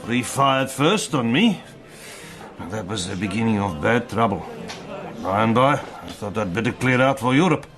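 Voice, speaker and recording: A man's voice: lowish voice, animated, moderate pace, crowd noise, lightly acted. An actor playing a jovial, hot-tempered South African hunter in his fifties, hearty and blunt, with a South African accent, in an audio drama. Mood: roguish, matter-of-fact. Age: 50s.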